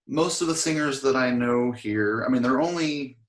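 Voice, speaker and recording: A man being interviewed, chatting casually and a little hoarse, filling his talk with "I mean" and restarts. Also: mid-range voice, moderate pace, roomy sound. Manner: rambling, frequent "I mean" fillers. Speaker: interview guest, singer.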